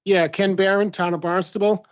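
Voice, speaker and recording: A man's voice: sits high, level tone, moderate pace, clear, resonant tone. A man bluntly and indignantly opposing a tax during public comment at a meeting. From Massachusetts. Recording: dull lo-fi meeting audio.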